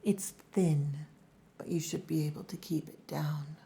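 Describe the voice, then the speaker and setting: Woman playing a low, slow, stern orc wife. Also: fairly even intonation, dark tone. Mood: strict, grudging care. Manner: low, slow, commanding, often breathy near-whisper. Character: stern possessive orc wife, gruff huntress caretaker. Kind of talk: ASMR roleplay acting.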